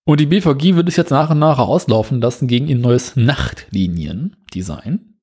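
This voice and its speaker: Man, husky voice, relaxed, dry delivery, casual news chat. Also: medium pitch, moderate pace. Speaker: podcast co-host.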